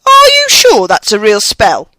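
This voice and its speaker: A reader voicing a bossy young schoolgirl witch who talks very fast, in a storybook reading. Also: mid-range voice, huge pitch swings, pushed and bright, no room sound, full character voice.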